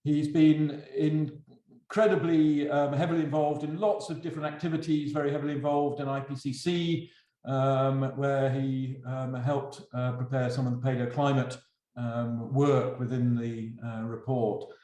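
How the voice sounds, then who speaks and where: A middle-aged man hosting a webinar and giving an informal introduction with halting, hesitant delivery. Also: mid-range voice, little pitch movement, mellow. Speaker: webinar host introducing a speaker. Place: indoor room on online call. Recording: roomy, echoing webinar call.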